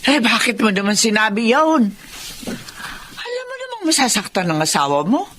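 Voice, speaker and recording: A woman's voice: a little high, sing-song, normal speed, a bit bright, a little hiss or hum. A Filipino woman playing an older, caring mother who chides and advises her grown son in radio drama style. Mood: concerned. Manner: chiding, advising. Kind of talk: emotional radio drama acting.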